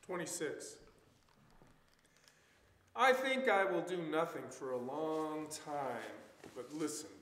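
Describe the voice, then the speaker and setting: Slow, animated man reading poetry. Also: on the high side. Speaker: poet reading aloud. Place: church meeting room with tiled floor, indoors. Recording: echoey hall, faint noise.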